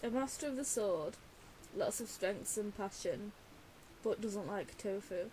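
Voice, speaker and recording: A woman's voice: mid-range voice, lively intonation, measured pace, warm tone, rough recording. A woman giving casual, chatty, rambling reaction commentary as she plays a video game. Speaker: game streamer. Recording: faint background hiss.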